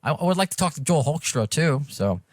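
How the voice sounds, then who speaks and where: A man's voice: on the high side, big pitch swings, fairly quick, no echo, studio quality. A man with a New York accent hosting a podcast, chatting casually in a rambling, self-deprecating way. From Long Island and Brooklyn, New York. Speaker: podcast host. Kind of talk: casual interview chat.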